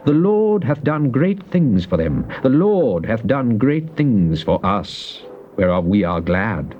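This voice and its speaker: A man giving a dramatized recitation of psalms, solemn and declamatory, with drawn-out emphasis. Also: on the low side. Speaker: scripture reader. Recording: muffled, noisy old cassette tape.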